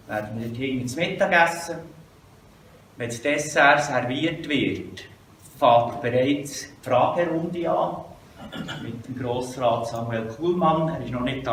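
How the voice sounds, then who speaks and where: A man's voice: medium pitch, a bit bright, a little hiss or hum. Swiss German male host with a chatty welcome, roomy and off-mic. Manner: informal, chatty announcements. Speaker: event host. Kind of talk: welcome speech. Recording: echoey, distant room sound.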